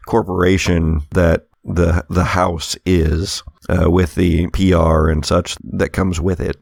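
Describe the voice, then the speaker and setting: A low-pitched man with a creaky edge runs a tabletop roleplaying game. He takes his time, narrating in halting, thoughtful phrases at a slow pace. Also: a little mellow, dry recording, studio quality. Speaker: game master.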